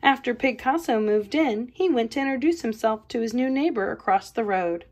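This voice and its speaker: A woman art educator reading a children's picture book aloud, expressive and playful. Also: a little high, moderate pace. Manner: expressive storybook reading with playful voices for quotes.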